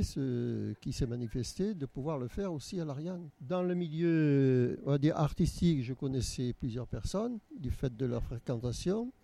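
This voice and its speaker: Older man, from Nice, exhibition organizer, gravelly, very dark tone, hesitant with repeats, dry close sound. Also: mid-range voice, dry recording. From Nice, France. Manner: hesitant, frequent repeats and tag "hein". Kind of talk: casual interview answers.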